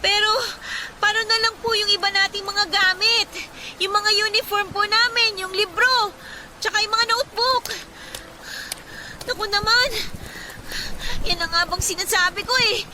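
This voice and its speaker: A woman's voice: very high voice, moderate pace. A woman playing an anxious teenage daughter in a radio drama, complaining plaintively. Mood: distressed, protesting. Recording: storm-like noise behind the voice.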